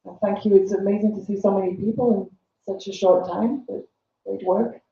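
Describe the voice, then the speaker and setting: A woman's voice: medium pitch, steady tone, moderate pace, vocal fry, warm tone. A woman giving a brief, friendly greeting as an invited speaker at a workshop. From England. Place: small amphitheater. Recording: distant, roomy, dull livestream audio.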